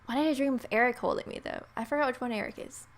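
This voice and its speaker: A woman's voice: on the high side, racing. Wry woman reading a visual novel aloud. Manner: playful, wry, expressive reading. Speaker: gamer, video host. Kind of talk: let's play read-aloud with casual asides.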